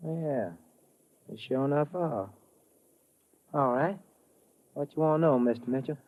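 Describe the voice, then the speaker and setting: A man's voice: fairly high pitch, measured pace. A man acting an easygoing army sergeant with a folksy Southern US drawl in an old radio drama. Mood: helpful, matter-of-fact. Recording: muffled old radio recording.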